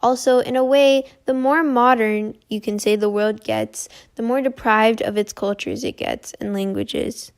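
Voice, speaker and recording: A woman's voice: a little high, steady tone, measured pace, hardly any pauses. A woman speaks earnestly and with concern as an interviewee.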